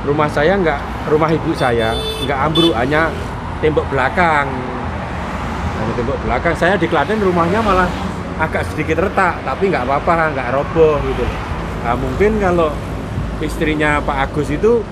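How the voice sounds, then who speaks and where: A man with a Javanese accent interviewing a stranger by the road, chiming in often with warm agreement. His voice is slightly high for a man, and heavy traffic roars in the background. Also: moderate pace. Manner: warm, constant backchannel agreement. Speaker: host. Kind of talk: casual roadside interview. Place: roadside outdoors with traffic. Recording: loud traffic noise outdoors.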